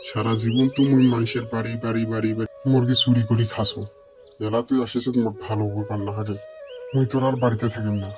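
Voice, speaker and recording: A man's voice: mid-range voice, little pitch movement, fast, over music, close to an everyday voice. A man playing a sly, quarrelsome chicken-thief fox in a village cartoon, talking in a rustic northern Bangladeshi accent. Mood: defiant. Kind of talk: Bengali village cartoon voice acting.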